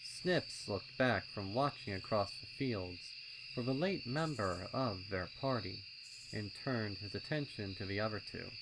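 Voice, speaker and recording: A man reads a dramatic horror story in a measured, ominous manner. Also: lowish voice, animated, noisy background. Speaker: story narrator. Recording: loud night insect ambience behind voice. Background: crickets and insects.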